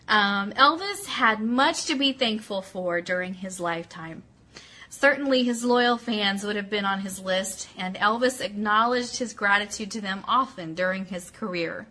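A woman's voice: medium pitch, sharp tone. A woman hosting a live online radio show, chatty and casual as she speaks directly to her audience. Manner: chatty, addresses viewers directly by name. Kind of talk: casual livestream chat, greeting viewers and reading an article aloud.

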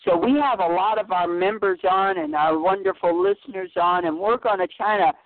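A woman's voice: on the low side, normal speed, dry recording. A woman hosting a call-in radio chat, effusive and rambling, with many restarts. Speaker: host. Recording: tinny phone line, dry.

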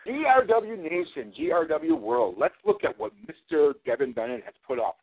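A man's voice: high voice, huge pitch swings, moderate pace, gravelly, husky. A man cutting a sarcastic, mocking wrestling promo, taunting his rival as champion. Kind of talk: trash-talking wrestling promo over a call. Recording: tinny phone line.

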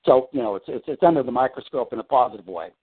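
A man's voice: mid-range voice, lively intonation, fast talker, husky. A man on a phone call from home, talking about his work in a rambling way full of restarts and fillers. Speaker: client on a coaching call. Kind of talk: casual phone conversation. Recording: tinny phone line.

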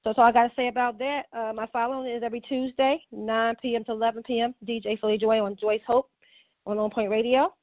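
A woman calling in to a radio talk show, earnest and measured as she gives advice and plugs her show. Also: on the high side, flat delivery, dry recording. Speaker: caller and fellow radio host. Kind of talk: call-in radio chat. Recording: dry, dull lo-fi call-in line.

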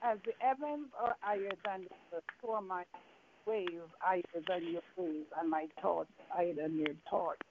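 A woman's voice: lowish voice, normal speed, slight background noise. A woman with a Jamaican accent preaching a fervent evening sermon, full of repeated phrases and rhetorical questions. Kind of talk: evening sermon with call and response. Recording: tinny phone-line sound.

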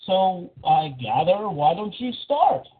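A man co-hosting a call-in show in casual chat, halting and repeating phrases, heard over band-limited call audio. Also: high-pitched, big pitch swings, slightly rough, narrow, tinny audio.

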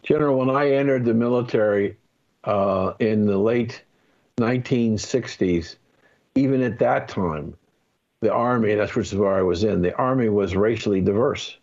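An American man in his seventies, a veteran on a radio panel, talking in halting, deliberate phrases. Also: lowish voice, a little mellow. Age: 70s. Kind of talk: conversational radio discussion. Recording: dull, lo-fi call-in line.

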